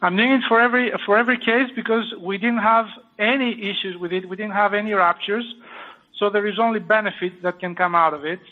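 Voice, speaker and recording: A high-voiced man with a French accent talks through a surgery step by step, pausing often with fillers. His voice comes over a thin, tinny line that sounds like a phone. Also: steady tone. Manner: explanatory, halting with many fillers. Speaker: surgeon performing a live procedure. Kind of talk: live procedure commentary.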